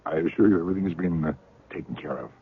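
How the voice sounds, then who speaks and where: A polite, evasive older spymaster in an old radio mystery, with a very deep, husky voice that still swings widely in pitch. He hums and hesitates as he charms, and the sound is thin and phone-like. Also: exaggerated voice. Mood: persuasive. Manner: courteous, unhurried, hums and hesitates with uh. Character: genial older male spymaster, smooth and evasive. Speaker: head of an intelligence operation. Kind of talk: 1970s radio drama acting. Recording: tinny, lo-fi old radio broadcast.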